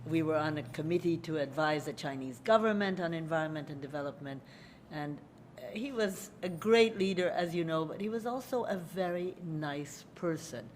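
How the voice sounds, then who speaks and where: An older woman whose first language is Hungarian, speaking in a low-pitched voice at a lecture podium. She talks in a conversational, personal way and addresses the children in the audience. A faint rumble sits under the podium microphone. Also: expressive, moderate pace. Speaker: guest lecturer. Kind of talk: public lecture.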